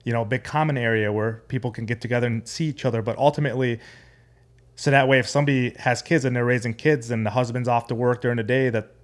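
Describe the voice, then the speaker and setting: A man's voice: medium pitch, fairly even intonation, fast. An American man talks conversationally as a guest on a podcast. He has an ordinary mid-range voice and explains his views in an earnest way. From United States and Jordan.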